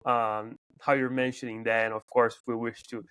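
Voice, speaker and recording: A man's voice: mid-range voice, little pitch movement, moderate pace, fairly bright tone, clean tone, no echo. A man in his twenties from Brazil, speaking English with a Brazilian accent as a second language, hosting a casual podcast interview and often repeating words as he searches for phrasing. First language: Portuguese. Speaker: podcast host.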